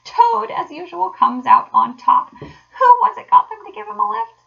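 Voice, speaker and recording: A woman's voice: mid-range voice, fairly even intonation, moderate pace, gravelly, slightly rough, dull audio. A woman voicing a boastful, reckless male toad who crows in self-admiring declamation for a storybook. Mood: gleeful gloating.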